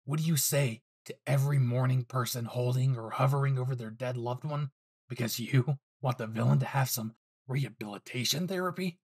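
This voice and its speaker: Man voicing young anime hero, mid-pitched, aggressive, cursing, defiant, fiercely devoted. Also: brisk, a little mellow, slightly rough. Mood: furious. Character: furious, foul-mouthed young male hero with ruthless protective devotion. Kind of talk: anime fan audio drama.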